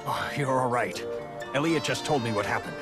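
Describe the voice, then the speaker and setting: An actor playing an old village elder in a video game, dramatic, fretful and scolding. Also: male voice, mid-range voice, normal speed, over loud music, lightly acted. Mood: relieved. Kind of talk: dubbed game dialogue.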